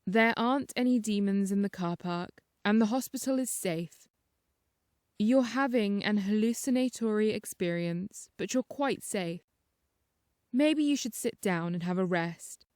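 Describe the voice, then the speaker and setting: A woman voicing a soothing, measured hospital doctor who reassures a patient in an audiobook story. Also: medium pitch, a little mellow, dry recording, clean studio sound, lightly acted. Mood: calm, reassuring.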